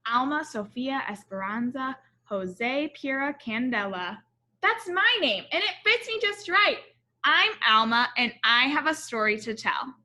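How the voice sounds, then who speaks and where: An eager, curious little storybook girl, voiced by a woman reading aloud, with a high, bright voice. She speaks earnestly and expressively. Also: a little slow, heavily acted. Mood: eager, proud. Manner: earnest, expressive, addressing her father.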